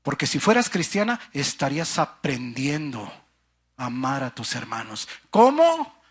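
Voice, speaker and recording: A man preaching a sermon with passion, calling his listeners brother and sister and swinging between fervent cries and hushed low phrases. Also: sing-song, a little slow, slightly rough.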